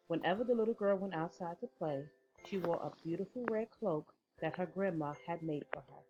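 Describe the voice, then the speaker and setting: A woman narrates a children's fairy tale in a lower, very animated voice. Her telling is measured and expressive, with music behind and slightly muffled sound. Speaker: storyteller. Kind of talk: scripted children's story narration.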